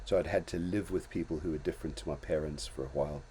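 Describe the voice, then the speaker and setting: A man's voice: extremely low pitch, fairly even intonation, fast talker, dark tone, a little hiss or hum. An older man from Zimbabwe hosting a casual talk show, reflecting on his own life in a storytelling way with many restarts and small chuckles. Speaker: host.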